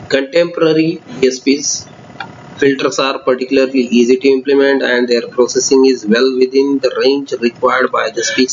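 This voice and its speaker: A male lecturer walks through a technical diagram at a mid pitch. He reads formal sentences and then drifts into looser remarks of his own. The recording sounds dull and lo-fi, with a faint noise underneath. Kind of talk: explaining a block diagram.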